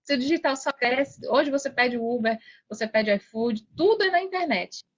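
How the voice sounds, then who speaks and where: A Brazilian woman in her forties with a fairly high voice speaks as a guest coach in a casual motivational chat. She is earnest and emphatic, repeating phrases for weight. The audio is a little dull. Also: normal speed. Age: 40s. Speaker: podcast guest, affiliate marketing coach. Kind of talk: casual motivational interview talk.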